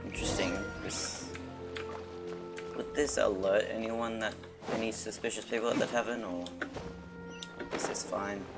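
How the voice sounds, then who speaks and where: A man's voice: on the low side, fairly even intonation, a little slow, pauses often, over loud music. A man giving casual live commentary as a game streamer, in a muttering, laid-back way.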